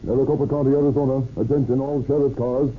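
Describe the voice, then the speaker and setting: A man's voice: medium pitch, fairly even intonation, fast talker. A man announcing a 1930s radio commercial as a police bulletin, clipped, emphatic and commanding. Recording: tinny, hissy old radio recording.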